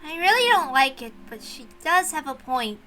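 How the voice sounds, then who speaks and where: A woman's voice: sits high, very animated, normal speed, pushed and bright, heavily acted. A woman voicing an earnest, stubborn young servant girl in a visual novel read-aloud, determined and defiant. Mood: grudging. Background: music.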